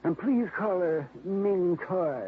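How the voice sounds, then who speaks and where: A blustering, short-tempered older gentleman in a 1950s radio mystery. His voice sits high and gruff. The old broadcast sound is thin and tinny, with a faint hiss behind it. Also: animated, slow pace. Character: blustering older man, rich dog owner. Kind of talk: 1950s radio drama acting.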